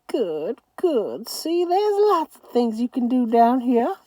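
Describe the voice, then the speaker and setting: A woman voicing a loud, bossy elderly grandmother with a Southern accent. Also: a little high, big pitch swings, slow pace, dry recording, pitched above the performer's own voice, full character voice. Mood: doting. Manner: shouting, weepy, domineering.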